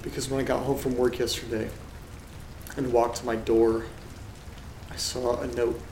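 A man acting in a horror audio drama as an uneasy letter reader, telling things in a subdued, measured way with dramatic pauses. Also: medium pitch, level tone, darkish tone, natural voice. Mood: somber, ominous. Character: uneasy man reading creepy letters aloud alone. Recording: roomy sound with rain and noise. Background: rain and thunder ambience.

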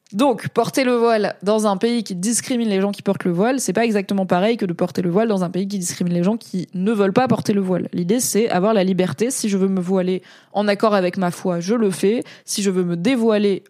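A woman's voice: medium pitch, warm tone, no room sound. Woman, from France, rambling casual talk, street slang, lots of hesitation, dead dry sound. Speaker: streamer reading chat and Reddit comments. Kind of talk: casual spoken commentary.

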